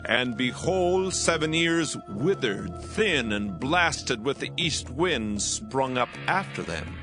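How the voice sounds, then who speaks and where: A man playing a troubled Egyptian king in a dramatized scripture reading, solemn, deliberate and theatrical. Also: medium pitch, sing-song. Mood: troubled, wondering. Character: troubled Egyptian king recounting a strange dream. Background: soothing background music.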